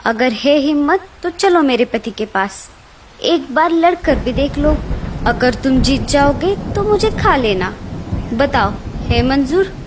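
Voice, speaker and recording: A woman's voice: sits high, lively intonation, slow, background noise. A woman voicing a clever, brave mother sparrow in a children's cartoon story, dramatic and pleading. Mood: bold, scheming.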